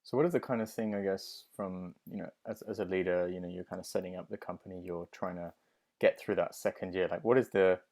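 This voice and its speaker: A man's voice: sits low, fairly even intonation, a little fast, darkish tone. A man hosting an interview podcast, asking long, winding questions padded with "you know". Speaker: podcast host.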